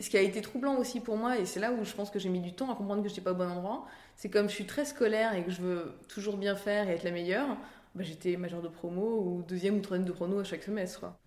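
A woman, telling her story in a chatty, informal, conversational way with self-deprecating asides. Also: fairly low pitch. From France. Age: 30s. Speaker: interview guest, career-change coach and author. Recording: roomy, echoing sound.

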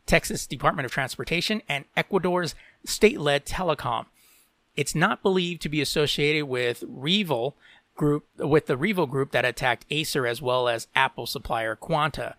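A man's voice: fairly high pitch, sharp tone, no echo. A podcast host from Latin America, a man who reads tech and entertainment news aloud and breaks off into opinionated commentary peppered with "you know".

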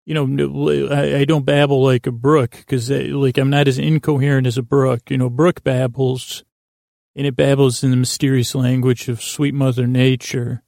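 Male bedtime podcast host: gravelly creak, flat intonation, soothing, halting, tangent-prone rambling. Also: mid-range voice, dry recording, clean studio sound. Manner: halting, tangent-prone, circling back on himself. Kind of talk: rambling, meandering bedtime monologue meant to lull listeners to sleep.

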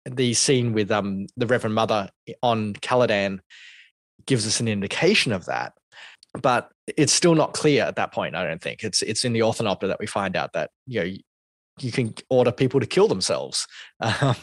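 A man talking through a film review on a podcast, his voice sitting on the low side. He thinks aloud, pausing and restarting often. The sound is dry and close. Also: expressive, dry recording. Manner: thoughtful, hesitant, many restarts. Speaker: podcast co-host. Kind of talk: casual film review discussion.

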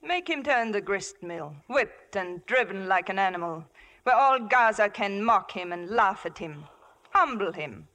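An actress playing a seductive, vengeful royal mistress in a poised, scornful manner, in 1950s radio drama. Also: female voice, medium pitch, expressive, unhurried, pushed and bright.

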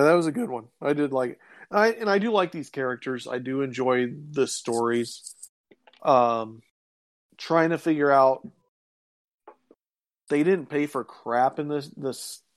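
A man's voice: medium pitch, unhurried, long pauses. A man co-hosting a casual chat, thinking aloud and puzzling things over in a conversational way. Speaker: podcast co-host. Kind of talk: casual chat reviewing old radio serials.

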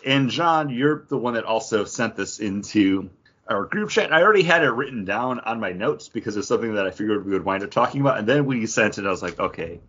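Low, husky man with animated delivery. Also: fast talker, muffled recording. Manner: opinionated, conversational. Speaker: podcast host. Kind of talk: casual geek-news discussion.

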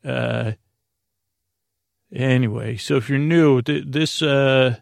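A man with a creaky voice hosting a bedtime podcast, meandering informally and hesitantly through sidetracks and half-finished words. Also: medium pitch, slow pace, no echo, studio quality. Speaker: podcast host.

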